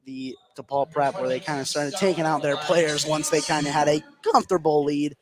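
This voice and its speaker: A man's voice: a little high, steady tone, brisk, crowd noise. A man doing live play-by-play basketball commentary as a radio sports announcer. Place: indoor basketball gym, live radio broadcast. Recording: clear background noise, live gym ambience.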